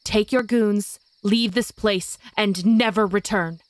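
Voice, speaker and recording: A woman's voice: medium pitch, steady tone, slow pace, dry recording, close to an everyday voice. A woman playing a defiant, righteous witch, speaking firmly and dramatically in an audio drama. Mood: indignant. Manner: firm, dramatic, declamatory.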